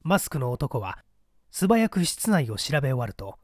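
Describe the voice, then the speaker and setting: Expressive male narrator, close dry sound. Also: mid-range voice, normal speed, no echo. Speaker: audiobook narrator. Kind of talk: scripted dramatic narration of a mystery story.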